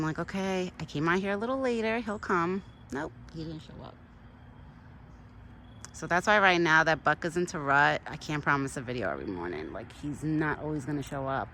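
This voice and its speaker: A middle-aged woman chatting casually outdoors to her viewers. She keeps breaking into high sing-song baby talk for an animal. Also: fairly bright tone, slight background noise. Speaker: vlogger talking to a wild deer. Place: outdoors in a yard.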